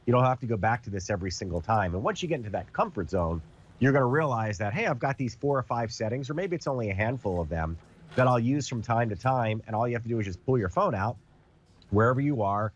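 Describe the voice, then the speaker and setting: Congested man demoing with lively energy. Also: medium pitch, fast talker, lo-fi sound. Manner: animated, explains with everyday comparisons. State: congested from a cold. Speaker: TV host demonstrating a product. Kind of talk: casual demo chat.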